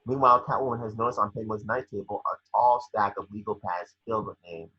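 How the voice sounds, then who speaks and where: A man reading scene descriptions as the narrator of a scripted table read, with a husky voice. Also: on the low side, fast talker, muffled recording. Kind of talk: scripted narration of screenplay action.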